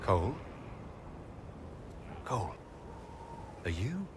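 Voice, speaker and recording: A steadfast male hero leading his party in a fantasy game. His voice is fairly low and expressive, with faint ambience behind. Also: very slow, noisy background, full character voice. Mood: reassuring. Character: steady, supportive heroic leader, a man. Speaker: player hero. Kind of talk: video game character acting.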